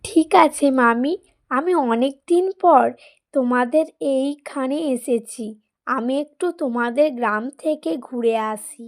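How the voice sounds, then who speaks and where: A woman's voice: sits high, a little slow. A woman voicing a curious, easily startled young woman in a cartoon ghost story, with a questioning manner. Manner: wondering, questioning.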